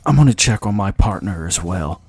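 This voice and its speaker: Man playing a deep-voiced, resolute woman pilot. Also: lively intonation, brisk, a little mellow, breathy edge, no room sound, exaggerated voice. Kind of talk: audio story character dialogue.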